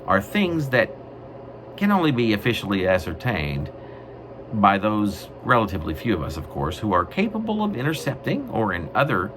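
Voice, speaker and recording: A man with a fairly low voice reads poetry on a clearly noisy amateur recording. His delivery is wry and mock-grandiose, with deliberate phrasing and very lively, swinging intonation. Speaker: poet reading own work. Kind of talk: literary poetry reading with offhand asides.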